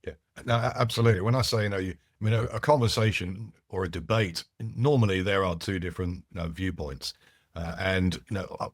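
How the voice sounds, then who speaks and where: A man's voice: deep voice, fairly quick, dry recording, studio quality. A man whose first language is French, a podcast guest explaining his ideas with frequent restarts and asides. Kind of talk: casual conversational interview.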